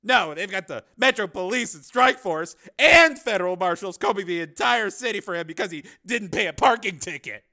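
An exasperated male news cameraman in an audiobook, acted out by a man, pitched very high and forceful. He is nervy and sarcastic, protesting with sharp agitation. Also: expressive, moderate pace, few pauses, exaggerated voice. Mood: sarcastic, agitated. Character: nervous, exasperated, sardonic young-sounding male TV news cameraman.

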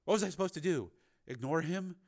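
A guarded female news reporter in an audiobook, voiced by a man, speaking quietly and firmly. The delivery is tense and restrained, with steady determination. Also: on the high side, a little fast, pitched above the performer's own voice. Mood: determined. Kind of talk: acted audiobook dialogue.

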